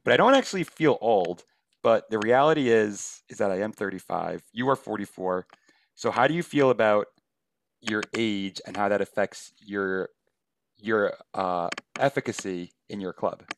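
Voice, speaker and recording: A man's voice: on the low side, lively intonation, moderate pace, slight background noise. A man in his thirties, a podcast host and league founder, asking questions in a curious, casual way. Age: 30s. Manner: curious, laughs along with guest.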